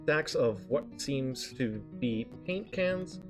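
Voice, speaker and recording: A man reading visual novel narration aloud on a stream, haltingly, often stumbling over words and correcting himself. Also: medium pitch, expressive. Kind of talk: live read-aloud of narration. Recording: guitar music behind the voice. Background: game background music with guitar.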